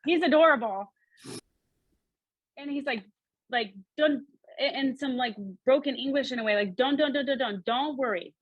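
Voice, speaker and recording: A woman working as a psychic medium, relaying messages in a halting, repetitive, animated way. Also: high voice, no room sound, highs cut off. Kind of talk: spoken reading, relaying messages in quotes.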